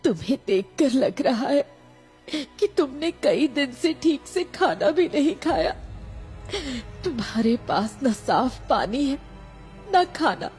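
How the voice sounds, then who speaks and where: Gentle, remorseful young mother, played by a woman for cartoon horror: soft dark tone, earnest, over light music. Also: sits high, big pitch swings, slow, exaggerated voice. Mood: remorseful, tender. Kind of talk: animated horror story acting. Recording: background music.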